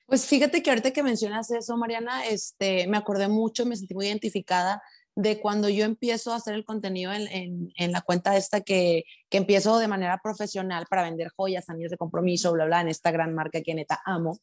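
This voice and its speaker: A woman from Sinaloa, Mexico, with a Sinaloan accent, talking frankly and with lots of slang in a casual conversation. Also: medium pitch. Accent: Sinaloan Mexican Spanish. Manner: fast, animated, slangy and frank.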